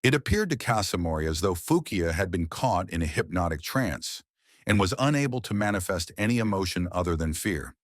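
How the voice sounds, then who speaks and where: Deep-voiced male story narrator. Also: very animated, a little fast, no room sound, studio quality. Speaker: audiobook narrator. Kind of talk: scripted narration of a classic story.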